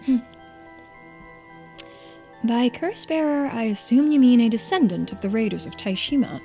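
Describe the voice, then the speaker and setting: Lady doing a calm formal investigator type in a video game, polite but probing, measured talk. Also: a little high, faint music behind, pitched above the performer's own voice. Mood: thoughtful.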